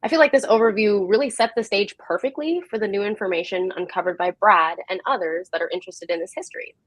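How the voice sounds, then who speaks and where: A woman's voice: medium pitch, fast talker, no echo. A woman hosts an online talk in an enthusiastic, appreciative, semi-scripted way. Her mid-range voice comes through dry, with very little room sound. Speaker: host of an online history talk. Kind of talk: semi-scripted event hosting.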